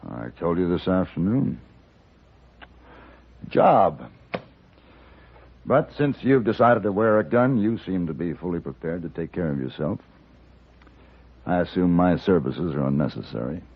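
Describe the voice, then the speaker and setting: Cool dry gunslinger from an old radio western, low voice, swings a lot, muffled sound. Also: male voice, expressive, creaky, slightly rough, heavily acted. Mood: coolly sardonic. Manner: dry, deliberate, commanding. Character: cool, unflappable hired gunman of the Old West. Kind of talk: 1950s radio western acting. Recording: dull old radio recording with music.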